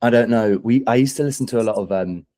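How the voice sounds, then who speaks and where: A man's voice: mid-range voice, fast talker, dark tone. A young man with a London English accent chatting casually as a musician in an interview, enthusiastic and rambling in an informal way.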